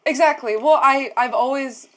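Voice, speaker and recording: A woman's voice: high voice, normal speed, a bit bright, a little hiss or hum. A woman from Texas chatting casually as a player at a game table, giggly and a bit sheepish. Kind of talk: casual chat.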